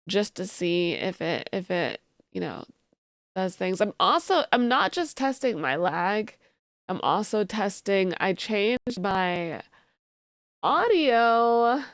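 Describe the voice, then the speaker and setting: A woman's voice: mid-range voice, animated, takes it slowly, dry recording, clean studio sound. A woman streaming live who says she just woke up and has a sleepy voice, chatting casually and thanking her viewers.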